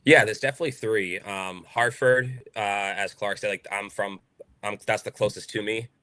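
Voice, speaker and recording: A man's voice: lowish voice, steady tone, moderate pace, sharp tone, no echo. A young man from Boston with a Boston accent, a baseball player talking casually in a podcast interview, outspoken and full of fillers.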